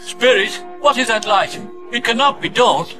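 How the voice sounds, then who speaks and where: A man's voice: very high-pitched, normal speed. A man playing a frightened old miser in a storybook, pleading in formal, fearful phrases. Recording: music under the voice.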